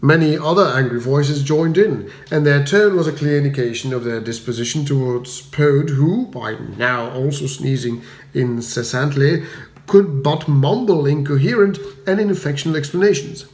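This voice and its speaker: A man's voice: a little high, normal speed, a little mellow, echoey room. A man reading a comic story aloud as a scripted, dramatic audiobook narration. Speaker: audiobook reader.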